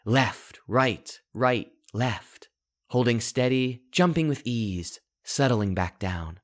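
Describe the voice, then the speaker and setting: A man reading a scripted first-person short story in a deliberate way, with dramatic pauses between short phrases. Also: medium pitch. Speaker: author reading his own short story.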